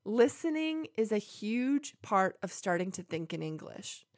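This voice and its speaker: A woman teaching English learners, explaining tips clearly and deliberately, step by step. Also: mid-range voice, very animated, dry recording, clean studio sound. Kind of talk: language lesson to camera.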